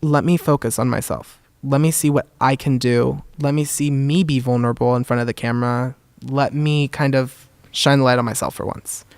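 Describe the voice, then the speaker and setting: A man's voice: mid-range voice, fairly even intonation, normal speed, hardly any pauses, clear, resonant tone, no room sound. A young man, a photographer, answering onstage interview questions in an earnest way with many "um"s, in a voice he calls feminine. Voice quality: describes his own voice as feminine. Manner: earnest, many "um"s and "kind of", laughs often. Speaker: guest, photographer and zine curator. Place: theater stage.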